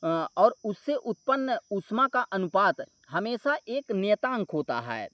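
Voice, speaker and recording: A man's voice: high voice, very animated, unhurried, a bit bright, dry recording, clean studio sound. A man explaining exam questions and answers as a coaching teacher, restating points and checking in with listeners. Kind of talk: explanatory exam solution walkthrough.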